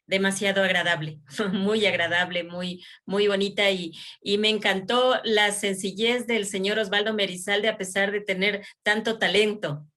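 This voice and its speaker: A woman interviewing in an effusive, halting way, often repeating phrases. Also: mid-range voice, fairly even intonation, a bit bright. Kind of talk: conversational interview.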